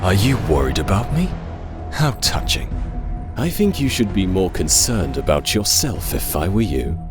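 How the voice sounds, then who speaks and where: A brooding demon warlord, acted by a man in a game fandub. He is cold and commanding, with a very wide-ranging, dramatic delivery. Loud music plays behind him. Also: sits low, very animated, normal speed. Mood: cold, scornful. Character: brooding half-demon warlord rallying his army. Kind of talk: anime game fandub acting.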